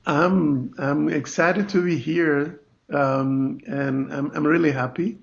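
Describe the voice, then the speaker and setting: A man's voice: fairly high pitch, fairly even intonation, slow, vocal fry, highs cut off. A middle-aged man from Venezuela with a Venezuelan Spanish accent, chatting casually as an interview guest. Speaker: podcast guest, compensation and benefits consultant.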